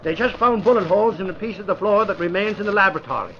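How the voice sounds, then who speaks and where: An actor playing an excitable, blunt, colloquial newspaperman sidekick in an old-time radio crime drama. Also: high-pitched, racing, slight background noise. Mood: urgent. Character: excitable, plain-spoken male newspaper reporter sidekick. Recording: muffled old radio recording.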